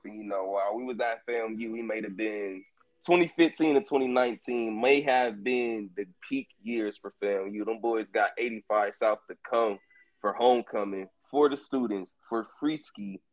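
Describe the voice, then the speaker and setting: A man's voice: medium pitch, dry recording, lo-fi sound. Laid-back young man telling stories. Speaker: podcast co-host. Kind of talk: casual podcast chat.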